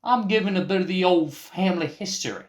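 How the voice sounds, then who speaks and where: Man putting on a folksy excitable Texan fellow. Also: high voice, brisk, voice pushed up, close to an everyday voice. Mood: folksy, defensive. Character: folksy, cocky young Texan man, boastful odd-job sidekick.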